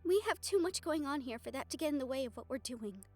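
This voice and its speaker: Woman doing a guarded young fiancee for audio rp, high voice, emphatic with pauses, protective, faint music behind. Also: expressive. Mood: urgent, pleading. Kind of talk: fan audio roleplay, intimate dramatic acting.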